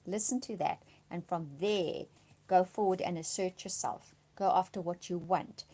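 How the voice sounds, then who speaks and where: A woman doing a monthly tarot reading, slightly hoarse from a cold, speaking softly and reassuringly, dropping to a whisper as she reads the cards. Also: lowish voice, fairly even intonation, normal speed. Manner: soft-spoken, often drops to a whisper reading cards; reassuring, often checks in with "okay?". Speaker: tarot card reader.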